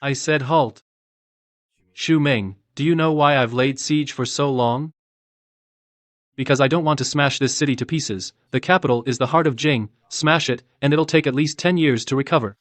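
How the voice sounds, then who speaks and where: A man playing a resolute, principled general in a dubbed motion comic, speaking in measured, terse commands. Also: medium pitch, no room sound, close to an everyday voice. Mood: firm command. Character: resolute male general, stern, principled, quietly defiant.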